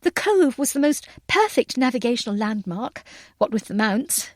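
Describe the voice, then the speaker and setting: A woman voicing a bright, effusive, well-bred young lady aviator who is polite and apologetic, with old-fashioned phrasing. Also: fairly high pitch, big pitch swings, normal speed, no room sound, studio quality. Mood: flustered, apologetic.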